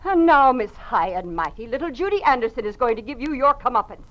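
Vindictive woman informer, old radio play; highish pitch, mocking delivery, muffled vintage recording. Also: very animated, fairly quick. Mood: vindictive, scornful. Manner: taunting, sneering, mocking. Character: spiteful, gloating party informer, woman of zealous ambition. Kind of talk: old-time radio drama acting. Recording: dull, band-limited old radio recording.

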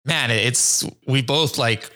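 A man's voice: medium pitch, slow pace, bright, forceful tone. A man hosting a podcast interview, friendly and chatty, who drops jokey asides between questions. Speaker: podcast host.

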